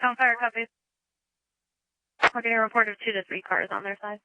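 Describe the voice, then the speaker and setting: A woman's voice: a little high, steady tone, a little fast, no room sound, phone-quality sound. A woman working as a fire dispatcher, speaking in clipped procedural phrases over emergency radio. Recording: dry emergency radio transmission.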